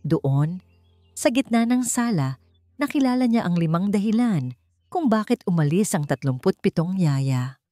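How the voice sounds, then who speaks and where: A woman storyteller reads a dramatic scripted romance. Her voice sits a little low, and music plays softly behind the narration.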